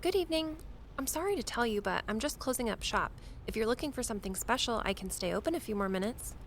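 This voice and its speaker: A woman's voice: fairly high pitch, expressive, quick pace, a little hiss or hum. A woman playing a wry, witchy shopkeeper in an audio drama, with playful dry humor and faint ambience behind her. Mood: welcoming. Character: wry, witchy middle-aged woman shopkeeper selling esoteric curios.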